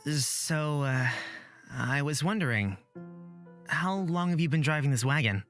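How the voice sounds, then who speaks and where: A woman voicing a polite, hesitant young traveler in a fantasy visual novel, earnest and gentle. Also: low-pitched, animated, unhurried, soft background music, pitched below the performer's own voice. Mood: curious.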